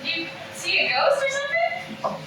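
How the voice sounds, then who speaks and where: A woman's voice: very high voice, very animated, unhurried, heavily acted. Comedy table read: woman acting as nervy, bossy former child star, distant echoey recording, music behind. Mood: brisk, insistent. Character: nervous, insistent female former child star.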